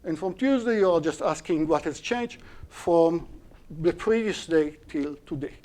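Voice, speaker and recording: Man lecturing with a mellow voice. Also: a little high, animated, unhurried. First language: Hebrew. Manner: explaining step by step, with self-corrections and restarts. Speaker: university lecturer. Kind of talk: live-streamed algorithms lecture.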